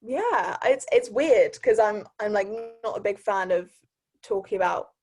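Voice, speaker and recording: A woman's voice: medium pitch, animated, a little slow, darkish tone. Young woman, hesitant and laughing. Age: young adult. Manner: laughs often, hesitant with many "um"s. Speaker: interview guest. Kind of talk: casual interview over a video call.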